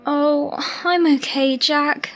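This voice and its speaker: A woman acts out a stoical, kindly care worker in a radio drama. Her voice sits a little high and she talks in a familiar, reassuring way. There is light music in the background. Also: steady tone, slow pace. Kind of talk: amateur radio play acting.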